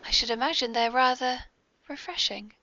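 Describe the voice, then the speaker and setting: A woman's voice: high-pitched, moderate pace, sharp tone. A woman with a British accent playing a genteel, mischievous lady mastermind, hosting a scripted comic podcast in a prim, arch, formal manner. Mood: arch, amused. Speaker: podcast host. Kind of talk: scripted comic audio drama. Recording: dull lo-fi podcast recording.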